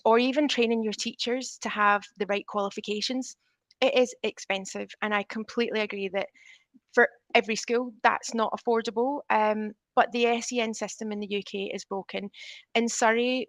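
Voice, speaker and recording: A woman's voice: mid-range voice, fairly bright tone, dry recording. A middle-aged woman with a Scottish accent, a teacher speaking earnestly and emphatically in a discussion over a remote link. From Scotland. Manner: earnest, emphatic about children's needs. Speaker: teacher at a specialist school. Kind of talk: conversational discussion.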